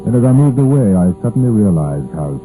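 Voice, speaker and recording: Police inspector, old radio crime serial. Very deep, measured, sombre, steady under strain. Scored with music. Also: male voice, low-quality recording. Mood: grim, reflective. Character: male police inspector trapped in a mine, steady, grim. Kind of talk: old radio crime drama, narration and dialogue. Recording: vintage 1950s radio broadcast, music behind.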